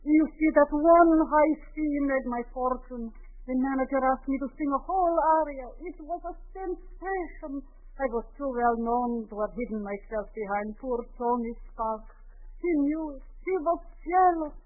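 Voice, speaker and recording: An actress in a 1940s radio comedy playing a proud, lovelorn opera singer with a grand, melodramatic manner. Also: female voice, high voice, moderate pace, natural voice. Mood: wistful, proud. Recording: narrow-band 1940 radio recording.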